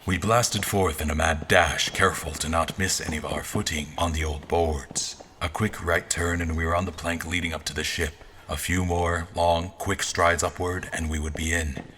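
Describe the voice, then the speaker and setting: A man playing a guarded royal officer in disguise, recounting his memories in formal, deliberate audio drama narration. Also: sits low, fairly even intonation, a bit bright, a little hiss or hum. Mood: urgent, narrative. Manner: formal, literary, deliberate phrasing. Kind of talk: scripted first-person audio drama narration. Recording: music behind the voice.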